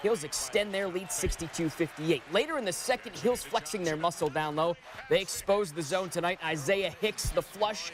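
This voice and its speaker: A man's voice: high voice, normal speed, a crowd in the background. A man narrating sports stories as a broadcast reporter, with punchy, dramatic phrasing.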